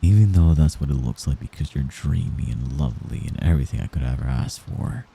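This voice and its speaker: A man playing a young mafia heir in an intimate ASMR roleplay, a gentle, hesitant and possessive friend who gives orders apologetically. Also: very deep voice, animated, vocal fry, husky, heavily acted. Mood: shy, smitten. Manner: soft-spoken, halting, apologetic while giving orders.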